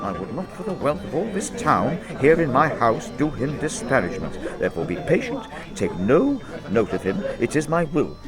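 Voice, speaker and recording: An actor playing a jovial, blustering old nobleman who is hosting a feast, in a Shakespearean audio drama. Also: wildly swooping pitch. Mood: calmly firm. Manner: expansive, booming, chuckling, quick to scold. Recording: loud music behind the voice.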